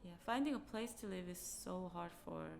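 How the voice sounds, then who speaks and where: A woman's voice: lowish voice, moderate pace, creaky, a little mellow. A woman from South Korea, a native Korean speaker with a Korean accent, chatting casually as a podcast co-host. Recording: faint background noise.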